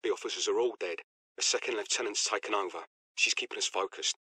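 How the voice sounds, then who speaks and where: A man's voice: lowish voice, quick pace, bright, forceful tone, breathy edge, dry recording. A man playing a weary, grim soldier recording a terse personal log in a video game. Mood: bleak. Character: weary, grim ordinary male guardsman soldier.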